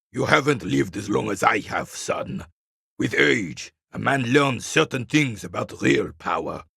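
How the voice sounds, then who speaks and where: An actor playing a proud, embittered old royalist war veteran in a video game, with a raspy voice and a haughty, cantankerous, formal way of speaking. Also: male voice, mid-range voice, bright, forceful tone, dry recording, clean studio sound, heavily acted. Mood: proud, lecturing. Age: older. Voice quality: gravelly, raspy, husky. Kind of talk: dramatic video game character acting.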